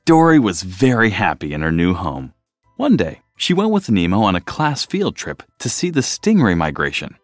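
A man reading a children's storybook aloud as narrator, in an expressive style, close to the mic. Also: lowish voice, normal speed, no room sound, soft background music.

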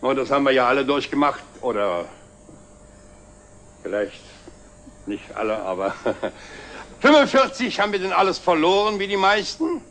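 Proud older director, animated delivery. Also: male voice, a little high. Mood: reminiscing, genial. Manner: expansive, deliberate speechmaking with wry chuckles. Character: proud, jovial, self-made older company director. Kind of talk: banquet speech in 1960s German TV drama. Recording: slightly noisy old 1960s TV soundtrack.

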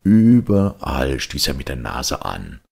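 A man narrating a children's audiobook in an expressive, theatrical storytelling style. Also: very deep voice, slow pace, creaky, breathy edge.

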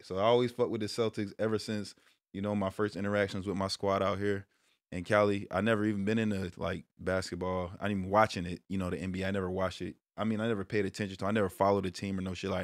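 A man in his thirties from Brooklyn, hosting a casual sports talk stream from a small room at home, switching between loud hype and low muttered asides. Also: no echo, clean studio sound. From Brooklyn, New York. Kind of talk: casual monologue to viewers.

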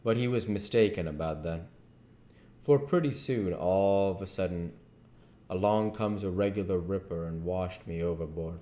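A man in his thirties reading a classic novel aloud deliberately, with occasional stumbles. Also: deep voice, mellow. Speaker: amateur audiobook reader. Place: living room at home, indoors. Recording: echoey home room recording.

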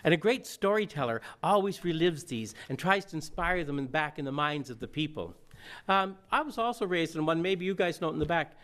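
A man's voice: a little high, animated, fairly quick, fairly bright tone. Older man preaching in a folksy way. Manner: folksy, breaks into song. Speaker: minister. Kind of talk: storytelling sermon. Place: church sanctuary.

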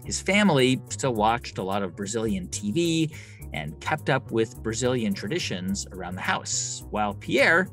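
A man's voice: lowish voice, big pitch swings, normal speed, fairly bright tone, soft background music. A middle-aged man hosting an interview podcast from a home studio, asking curious, conversational questions. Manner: curious, conversational, hesitant fillers. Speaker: podcast host. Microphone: large studio condenser mic.

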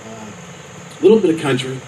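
A man's voice: mid-range voice, big pitch swings, brisk, dark tone. A man in his forties from Cincinnati, a songwriter contestant, answering in a wry, joking way. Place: outdoor festival stage. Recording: distant mic with faint outdoor noise.

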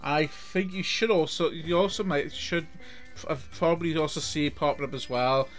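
A man's voice: fairly high pitch, moderate pace, a bit bright, soft background music. Welsh man, middle-aged, casual rambling game-stream chat. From Wales. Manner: rambling, many restarts and repeated words. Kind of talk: casual live-stream commentary. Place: home room.

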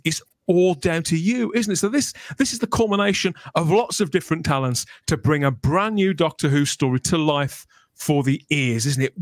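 Animated man hosting a podcast. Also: a little high, no room sound. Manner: enthusiastic, jokey, wordy. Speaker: podcast host. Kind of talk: chatty fan podcast talk. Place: small room at home, on a video call. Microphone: close podcast microphone.

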